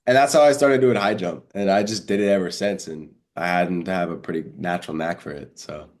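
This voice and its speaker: A man's voice: low voice, brisk. A congested young man chatting casually as an interview guest, telling stories in informal slang and acting out remembered dialogue. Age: young adult. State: congested, under the weather. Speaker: guest, college high jumper.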